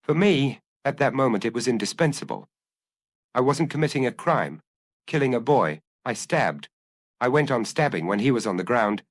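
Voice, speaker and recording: A man voicing a troubled young man, lively pitch. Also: normal speed, no room sound, clean studio sound, lightly acted. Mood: confessional, agitated. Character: troubled, confessing young Frenchman, melancholy and resigned. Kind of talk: dramatised audiobook dialogue.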